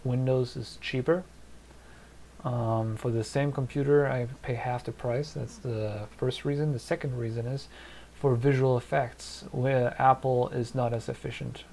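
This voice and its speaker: A man from Germany with a German and Polish accent talks casually to his live chat from a home desk, thinking aloud with plenty of fillers. His voice has a gravelly, creaky edge at an ordinary pitch. A faint hiss sits behind him. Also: fairly even intonation, unhurried, darkish tone, poor audio. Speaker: streamer answering chat. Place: small home studio at a desk.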